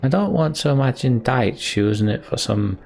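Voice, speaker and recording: A man's voice: medium pitch, animated, normal speed, gravelly, darkish tone, a little hiss or hum. A man voicing a boastful, rambling old minstrel from a video game, telling tales in colloquial speech. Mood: wistful, sincere. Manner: colloquial, storytelling, swooping emphasis. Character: rambling, boastful old male minstrel, cheerfully world-weary. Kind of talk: reading video game dialogue in character.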